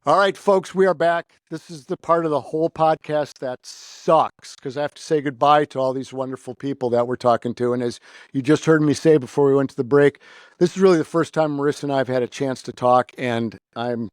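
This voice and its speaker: A man's voice: medium pitch, fairly quick, dry recording, clean studio sound. An American man hosting a casual podcast interview in a chatty, informal, effusive way. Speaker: podcast host.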